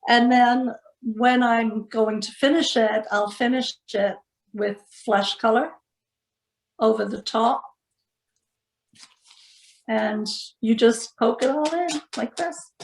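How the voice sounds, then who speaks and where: A woman with a northern English accent talks casually and reflectively, like an artist being interviewed. Her voice sits a little high and she speaks slowly, feeling for the right words. The sound is roomy, with clear echo around her. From northeast of England.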